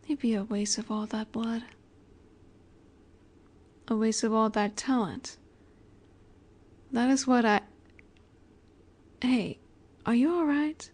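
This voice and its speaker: A woman playing a poised, teasing young vampire in an intimate audio roleplay, speaking gently and unhurriedly with breathy asides. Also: on the high side, steady tone, slow, long pauses. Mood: warm, caring.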